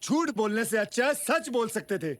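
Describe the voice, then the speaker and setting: A man's voice: extremely high pitch, lively intonation, normal speed, a bit bright. An actor playing a flustered, self-pitying son-in-law in a comedy film, hesitant with his excuses and prone to frantic rants. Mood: exasperated. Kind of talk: broad Hindi comedy film acting.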